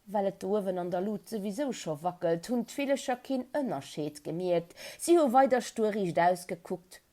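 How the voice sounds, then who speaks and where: A woman with a Luxembourgish accent telling a children's story in a dramatic, animated way, with emphatic build-ups and repetition. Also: mid-range voice, normal speed.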